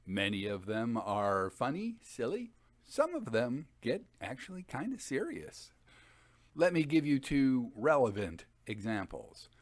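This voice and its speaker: A man hosting a reading series, giving conversational literary commentary with expressive, emphatic phrasing. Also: mid-range voice, measured pace, dry recording. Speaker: host and dramatic reader.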